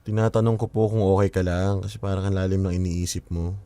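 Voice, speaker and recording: Concerned young son. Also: male voice, low voice, steady tone, fast, mellow, dry recording. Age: young adult. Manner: persistent, concerned, coaxing. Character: caring young adult son, college student. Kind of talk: radio drama acting.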